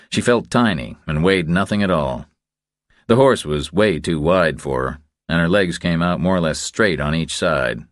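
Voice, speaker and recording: A man's voice: low-pitched, dry recording, clean studio sound. A man reading a crime thriller audiobook as a scripted narration.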